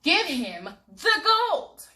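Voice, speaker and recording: A woman voicing an excitable, easily scared young man in a told story, talking high, loud and pleading. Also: moderate pace, natural voice. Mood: panicked.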